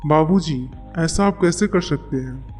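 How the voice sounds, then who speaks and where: A man's voice: on the high side, unhurried, darkish tone, far from the mic, soft background music, lightly acted. A man voicing an adult son in a family cartoon story. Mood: matter-of-fact. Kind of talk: Hindi family cartoon dialogue.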